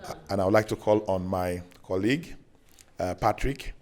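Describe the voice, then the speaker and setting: A deep-voiced man from Nigeria giving a courteous, measured self-introduction. He speaks formally to a community gathering and pauses now and then to find his words. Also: a little mellow, slight background noise. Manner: courteous, measured, slightly halting. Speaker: visiting speaker at a community meeting.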